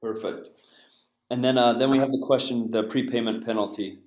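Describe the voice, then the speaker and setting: A man hosting a webinar, asking questions in a hesitant way with frequent pauses and restarts. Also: mid-range voice, fairly even intonation, reverberant. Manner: hesitant, many fillers and restarts. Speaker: webinar host. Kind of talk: webinar moderation.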